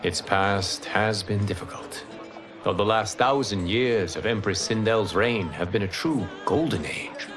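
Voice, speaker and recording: An actor playing an ancient, wise and composed mentor god in a video game, speaking in measured, formal explanations. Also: male voice, fairly low pitch, close to an everyday voice. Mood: calm. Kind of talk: video game cinematic acting. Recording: music behind the voice.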